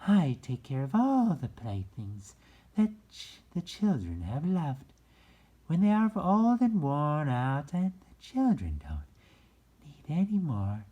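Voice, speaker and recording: A storybook reader voicing a kindly, gentle nursery magic fairy in a high, breathy voice with slow, drawn-out, emphatic words. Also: huge pitch swings, highs cut off, full character voice. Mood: tender, reassuring.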